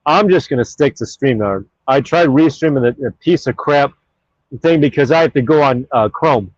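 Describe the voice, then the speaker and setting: A man hosts his own live stream and chats casually, reading viewer comments aloud and wandering between topics with many fillers. His voice sits at a middling pitch. The audio is dull and lo-fi, with no room echo. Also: normal speed. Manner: rambling and offhand, with many fillers.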